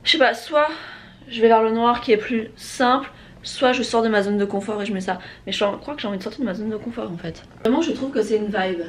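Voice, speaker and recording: A woman's voice: a little high, quick pace. A young woman chatting casually to camera in a slangy, emphatic way, dropping in English phrases. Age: young adult. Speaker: vlogger. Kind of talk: casual vlog chat to camera. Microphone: camera or phone held while vlogging. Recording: clearly noisy everyday vlog audio.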